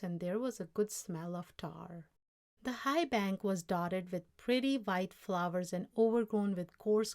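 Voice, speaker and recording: A woman storyteller reading a children's story aloud in a measured, expressive way. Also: mid-range voice. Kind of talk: scripted children's story narration, read aloud.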